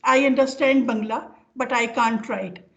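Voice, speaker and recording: An older woman with an Indian accent giving an informal academic lecture over a video call, speaking haltingly as she explains with examples. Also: fairly high pitch, fairly even intonation. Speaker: professor giving an online lecture. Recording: dull, lo-fi online call audio.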